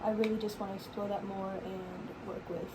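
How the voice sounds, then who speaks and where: A young woman answers interview questions in a soft, casual voice of middling pitch. She often fills her sentences with "like". Background noise sits clearly under her voice. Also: flat delivery, normal speed. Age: young adult. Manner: soft-spoken, casual with frequent "like". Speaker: recreation leader job candidate. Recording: noisy background.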